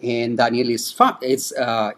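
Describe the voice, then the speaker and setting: A man's voice: medium pitch, flat delivery, a little slow, fairly bright tone. A man with a Costa Rican accent, a native Spanish speaker, co-hosting a casual live chat. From Costa Rica. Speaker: co-host of a couple's travel live chat.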